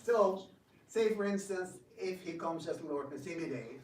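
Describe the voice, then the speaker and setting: A man with a slightly high voice speaks informally to a group, some way from the mic in an echoey room. He tells stories in an animated way, often repeating words as he searches for the next one. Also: unhurried. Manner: animated storyteller, acts out quotes, hesitant repetitions. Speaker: devotional speaker and drama director taking questions. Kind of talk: informal spiritual talk with questions. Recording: echoey room, distant mic, faint noise.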